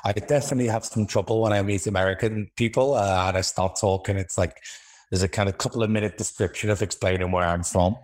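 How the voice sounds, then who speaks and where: A man's voice: lowish voice, steady tone, fast, a little mellow. A man with a Liverpool accent talking casually as an interview guest, feeling his way through his thoughts in a hesitant manner. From Liverpool, England.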